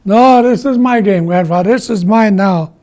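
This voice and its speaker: A man voicing a childish, sulky trickster in an oral folktale, whining, begging and excitable. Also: mid-range voice, moderate pace, slightly rough. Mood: greedy, bossy. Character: childish, sulky, pleading trickster who turns greedy.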